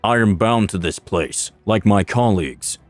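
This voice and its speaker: A man's voice: on the low side, measured pace, dry recording, soft background music, close to an everyday voice. A man voicing an unhinged, gleeful necromancer master in a narrated fantasy story, flippant and menacing, with a theatrical, toying delivery. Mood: flippant menace.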